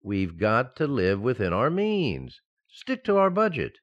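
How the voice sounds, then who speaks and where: A man's voice: mid-range voice, wildly swooping pitch, unhurried. A man playing a sensible, exasperated banker husband in an old-time radio sitcom, mock-stern and explaining things patiently, in slightly muffled sound.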